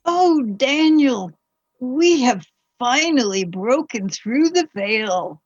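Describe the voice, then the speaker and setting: A woman playing a genteel, curious wife from the early 1800s. Her voice is fairly high and breathy, and she speaks slowly and formally in radio play style. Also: animated, dry recording, muffled recording, heavily acted. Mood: excited. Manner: formal, old-fashioned, genteel.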